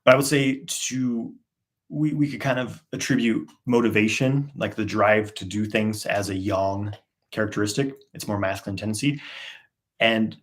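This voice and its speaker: A man in his thirties answers viewer questions on a live stream. His voice sits on the low side, and he talks in a loose, relaxed way with plenty of fillers. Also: normal speed. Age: 30s. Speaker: host. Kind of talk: live Q&A, casual chat.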